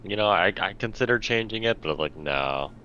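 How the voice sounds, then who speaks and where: A man's voice: on the low side, lively intonation, a little fast, bright, forceful tone. A man chatting casually as a player on a tabletop game stream. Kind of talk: casual chat. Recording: dull lo-fi microphone with faint noise.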